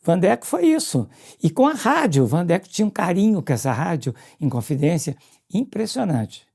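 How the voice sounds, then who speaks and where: A man's voice: high voice, big pitch swings, moderate pace, gravelly, darkish tone, breathy edge. An older Brazilian man, a radio music presenter, reminiscing casually in an interview.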